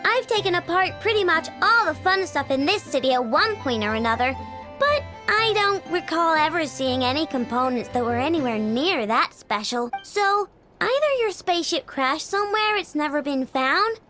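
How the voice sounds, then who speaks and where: A woman's voice: sits high, expressive, normal speed. A woman voicing a perky, boastful young girl inventor in a video game, with a childlike, casual way of talking. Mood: matter-of-fact. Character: excitable, snack-loving young girl inventor, proud household head. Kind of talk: animated video game voice acting. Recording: music behind the voice.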